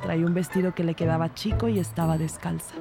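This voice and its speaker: A woman playing a police investigator who narrates her case in a measured, descriptive way. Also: lowish voice, steady tone, vocal fry, music in the background. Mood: measured narration. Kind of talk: scripted first-person narration.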